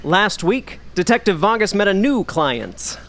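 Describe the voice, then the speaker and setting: An actor playing a suave, theatrical 1940s radio announcer with a showy, dramatic delivery. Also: male voice, sits high, very animated, measured pace, fairly bright tone, full character voice. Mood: dramatic, intriguing. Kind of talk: 1940s radio acting, scripted narration.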